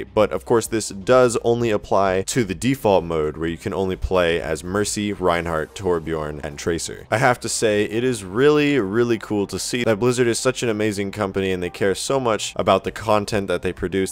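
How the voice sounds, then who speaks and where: A man hosting a gaming news video, explaining updates directly to viewers in scripted narration. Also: lowish voice. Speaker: YouTube gaming news host.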